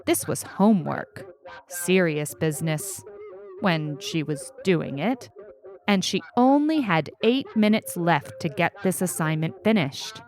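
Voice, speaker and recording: A woman's voice: on the low side, expressive, unhurried, a little mellow. A woman narrating a scripted short story in an audiobook style. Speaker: story reader on a fiction podcast.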